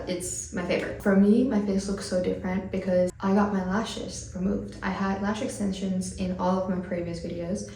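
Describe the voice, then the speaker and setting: A woman's voice: mid-range voice, dark tone, far from the mic, a little hiss or hum. Chatty young woman from Finland, roomy sound. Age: 20s. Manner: casual, rambling, with frequent fillers and laughs. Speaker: vlogger. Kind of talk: chatty get-ready-with-me vlog.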